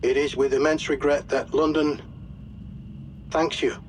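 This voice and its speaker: Solemn, deliberate military officer played by a man. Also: on the high side, steady tone, a little hiss or hum, close to an everyday voice. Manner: measured, formal, deliberate. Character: solemn, authoritative Allied military briefing officer. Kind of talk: formal scripted mission briefing, video game.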